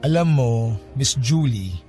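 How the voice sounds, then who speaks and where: A man's voice: mid-range voice, expressive, a little slow, dark tone, soft background music, close to an everyday voice. A man playing a stern, pompous young Filipino law student in a radio drama, formal and aloof. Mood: insistent, lawyerly. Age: young adult. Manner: stern, formal, mixes English into Tagalog. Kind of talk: Tagalog radio drama acting.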